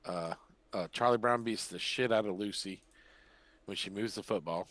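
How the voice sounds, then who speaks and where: An older man hosting a podcast in casual chat, rambling and pausing as he recalls things. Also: fairly low pitch, a bit bright. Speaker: podcast host.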